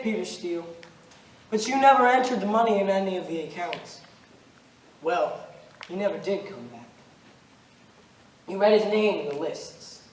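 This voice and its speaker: A man's voice: high-pitched, darkish tone. A young man playing a grave, relentless, centuries-old stranger in an amateur stage play, speaking in a solemn, declamatory way. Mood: measured, knowing. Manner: solemn, deliberate, declamatory storytelling. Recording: roomy, noisy stage recording from far off.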